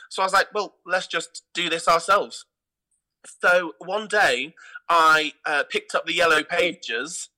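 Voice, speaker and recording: A middle-aged man with an English accent, talking in an animated, emphatic way as an interview guest and repeating words for emphasis. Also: a little high, rough recording. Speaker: theatre company artistic director, interview guest. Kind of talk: animated anecdotal interview talk.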